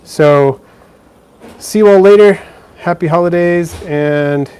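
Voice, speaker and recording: A man's voice: high voice, slow pace, dark tone, clear, resonant tone. A man casually answering viewer questions on a live stream, chatty and easygoing, with plenty of fillers and restarts, filming indoors by a terrarium. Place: indoors beside a frog terrarium.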